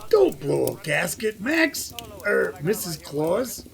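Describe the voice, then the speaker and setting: An actor playing a hearty, folksy man as Santa in an old-time radio comedy, with a gravelly voice. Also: fairly high pitch, huge pitch swings, takes it slowly, exaggerated voice. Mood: hearty, affectionate.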